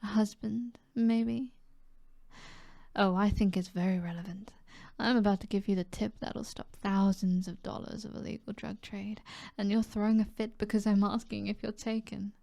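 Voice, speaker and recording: A woman with a British accent voicing a seductive, self-assured mob boss in an ASMR roleplay, soft and flirtatious, often dropping to a whisper. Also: medium pitch, flat delivery, vocal fry, no echo, studio quality, close to an everyday voice. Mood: teasing, seductive. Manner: flirtatious, teasing, unhurried.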